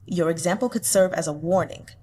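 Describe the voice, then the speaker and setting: A woman's voice: on the low side, fairly quick, mellow, natural voice. A woman voicing a lofty, lovelorn male demon lord who speaks formally and earnestly in an audiobook. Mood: earnest, resolute.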